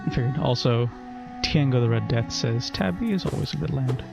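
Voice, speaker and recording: Lively joking man streamer. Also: mid-range voice, moderate pace, vocal fry, over music. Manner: joking, playful. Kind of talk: casual chat, reading chat messages.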